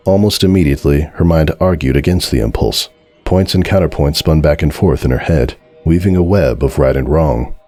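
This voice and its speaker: A man giving a dramatic scripted narration of a story in a measured, solemn way. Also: very deep voice, mellow. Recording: music behind the voice.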